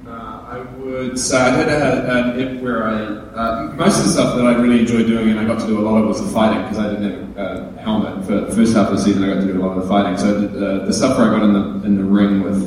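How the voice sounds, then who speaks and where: A lowish male voice answers panel questions in a laid-back, halting way, filling his pauses as he goes. He sounds far from the mic in a huge echoing hall with a long reverb tail. Faint noise sits underneath. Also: steady tone, darkish tone. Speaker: actor on a convention panel. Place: large convention hall.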